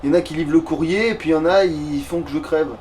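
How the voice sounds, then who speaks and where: A man's voice: fairly high pitch, very fast, darkish tone. A man thinking aloud informally with some swearing as he plays a video game, picked up from a distance with faint noise. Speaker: let's play player. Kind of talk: casual gameplay chat while playing.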